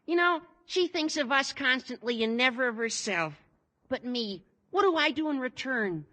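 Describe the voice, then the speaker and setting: A performer playing an eager, bouncy teenage schoolboy in a 1950s radio sitcom, chattering earnestly and excitably. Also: high-pitched, normal speed.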